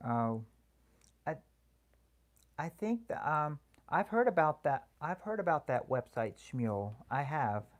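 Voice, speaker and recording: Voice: mid-range voice, very animated. Soothing, hesitant livestream host. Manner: hesitant, halting, full of restarts. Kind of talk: casual chat answering viewer comments.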